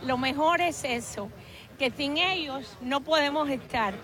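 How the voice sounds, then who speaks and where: Cuban woman driver, high voice, brief cheerful street answers, crowd behind. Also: unhurried. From Cuba. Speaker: passerby.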